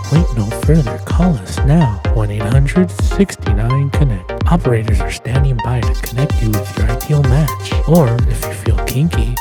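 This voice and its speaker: Salesy woman over loud electronic music. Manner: smooth salesy pitch. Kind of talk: parody ad read.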